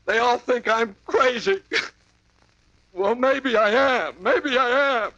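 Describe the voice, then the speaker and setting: A rattled man who wavers between dazed mumbling and near-hysterical insistence. His voice is bright and sharp-edged. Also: extremely high pitch, slow pace, dry recording, muffled recording, heavily acted. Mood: frantic. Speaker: client telling a story. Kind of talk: 1950s radio drama acting.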